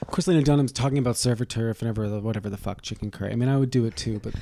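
A man's voice: mid-range voice, rapid-fire, warm tone, a little hiss or hum. A man co-hosting a podcast, tossing out sarcastic, profane wisecracks in casual chat. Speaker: podcast co-host.

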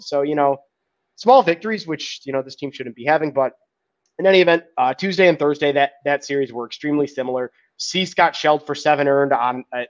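A man co-hosting a sports podcast, recapping games in a rambling way with many fillers and quiet trailing endings. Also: medium pitch, no room sound, lo-fi sound. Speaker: podcast co-host.

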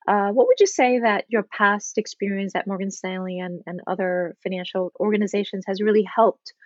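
A woman co-hosting a podcast interview, asking careful, measured questions. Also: mid-range voice, a little mellow, dry recording. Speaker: podcast co-host. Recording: slightly dull lo-fi audio.

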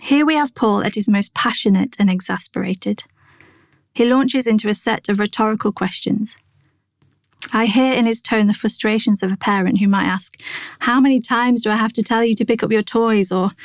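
A woman reading a scripted devotional in a measured, reflective manner. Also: mid-range voice, no room sound, studio quality. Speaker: devotional reader.

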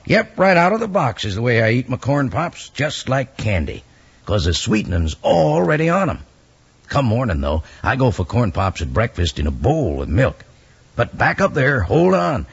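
American guy, hearty cowboy radio ad, croaky and lively, muffled old recording. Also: medium pitch. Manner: chuckling, hearty cowboy patter. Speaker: announcer and sponsor pitchman. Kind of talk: folksy 1950s radio advertising.

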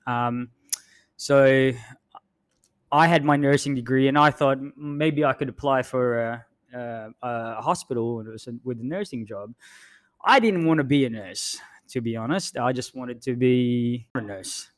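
A man who grew up in the Philippines, co-hosting a podcast in a laid-back, self-deprecating way with many pauses and um's. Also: mid-range voice. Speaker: podcast co-host. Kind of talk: casual storytelling chat.

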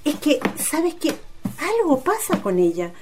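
A woman playing a kindly, gently intrigued mother in a radio drama. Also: sits high, huge pitch swings, unhurried, background noise, full character voice. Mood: intrigued, confiding.

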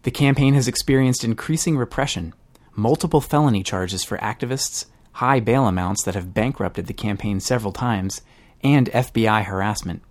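A man's voice: fairly low pitch, normal speed, few pauses. A man hosting a podcast, reading scripted news narration. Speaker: podcast host.

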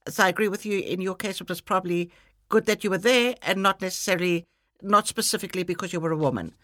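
A woman from Cyprus hosting a podcast interview, asking her guest questions in a gentle, measured way. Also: on the low side, no room sound, studio quality. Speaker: podcast host.

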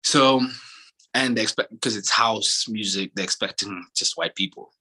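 Husky young man telling stories. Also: lowish voice, normal speed, rough recording. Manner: relaxed storytelling, frequent fillers and restarts. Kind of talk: casual chat.

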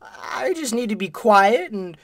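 A man's voice: high voice, expressive, measured pace, no room sound. A man playing a boring, insecure and mildly exasperated caller in a comedy radio play, meek, hesitant and prone to rambling. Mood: impressed.

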